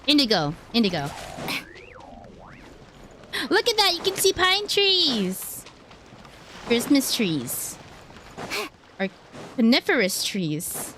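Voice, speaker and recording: A woman's voice: fairly high pitch, wildly swooping pitch, slow pace, pauses often, bright, forceful tone, a little hiss or hum. A woman chatting casually and playfully to viewers as she plays a video game, often exclaiming as she goes. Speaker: streamer playing a video game.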